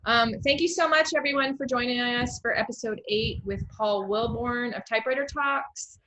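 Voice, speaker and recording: A woman's voice: fairly high pitch, moderate pace, sharp tone, low-quality recording. An American woman hosting an online literary show over a video call, chatting casually. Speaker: host of online literary series. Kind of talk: casual, gushing interview chat.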